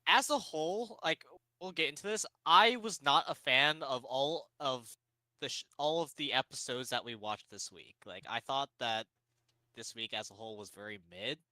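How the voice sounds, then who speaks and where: A man's voice: medium pitch, moderate pace, pushed and bright, no room sound. A man co-hosting a podcast, rambling through his thoughts with constant "like" fillers. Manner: rambling, heavy use of "like". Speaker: podcast co-host. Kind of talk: casual chat reviewing anime.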